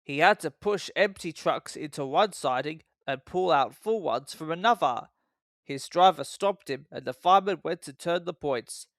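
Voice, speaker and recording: A man's voice: on the high side, moderate pace, fairly bright tone, no echo. A man reading a scripted children's story as the narrator.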